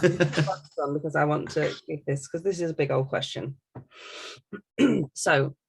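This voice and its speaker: A woman's voice: sits low, creaky, husky, rough recording. A woman hosting a casual, chatty podcast. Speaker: podcast host reading listener questions.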